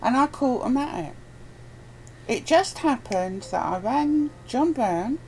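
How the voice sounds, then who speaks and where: A woman's voice: sits high, expressive, takes it slowly, a little mellow. A woman guest in casual, opinionated chat, speaking bluntly and emphatically and repeating phrases to press her point. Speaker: interview guest. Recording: clear background noise, ambient sound.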